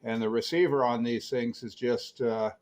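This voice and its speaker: A man's voice: medium pitch, steady tone, moderate pace, darkish tone. An American man chatting casually and enthusiastically over CB radio, explaining things like a keen hobbyist.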